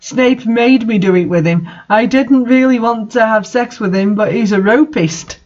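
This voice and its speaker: A man's voice: high voice, moderate pace, dull audio, voice pushed up, lightly acted. A man voicing a melodramatic, whiny teenage goth wizard boy in a storybook reading. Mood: sad.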